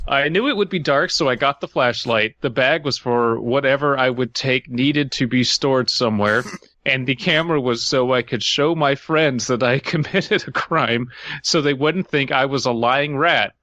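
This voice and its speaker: A man's voice: medium pitch, moderate pace, bright, forceful tone, no room sound, muffled recording. A man co-hosting a podcast, reading a story aloud and breaking off into incredulous, joking asides.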